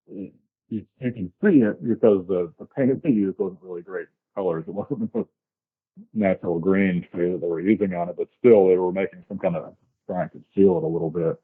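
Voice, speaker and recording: An American man from the southern US, casually telling a first-hand story as an interview guest, descriptive and a little halting. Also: low voice, breathy edge. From southern United States, grew up in Florida. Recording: tinny, band-limited remote call.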